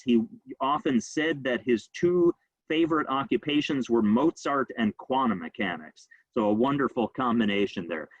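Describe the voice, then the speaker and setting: A man gives a history lecture at a brisk, conversational clip, his speech dotted with ums and uhs. The sound is dry and a bit dull, as from a plain recording in a quiet room. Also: mid-range voice, no room sound. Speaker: rabbi giving a history lecture. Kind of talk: informal illustrated talk.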